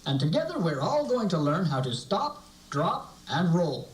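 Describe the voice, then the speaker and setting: A man voicing a friendly puppet character who teaches children fire safety in an animated, instructive way. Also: fairly high pitch, a little slow. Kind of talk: scripted puppet show narration for children. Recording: echoey, distant puppet show sound on VHS.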